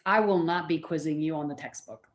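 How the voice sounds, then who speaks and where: A middle-aged woman speaks as a college lecturer. Her voice sits a little low for a woman. She explains in a conversational, informal way, as if talking directly with her class. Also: brisk.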